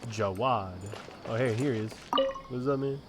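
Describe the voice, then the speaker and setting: A man giving casual, low-key let's play commentary, talking in a hesitant way. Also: moderate pace. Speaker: gameplay streamer. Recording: faint noise and clicks behind.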